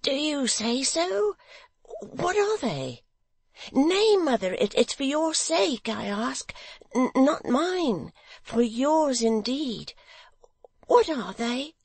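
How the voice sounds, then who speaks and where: A woman's voice: high-pitched, sing-song, slow pace, no room sound, voice pushed up, exaggerated voice. A woman voicing an eager, simple-minded young man who talks in a breathless, wondering and pleading way.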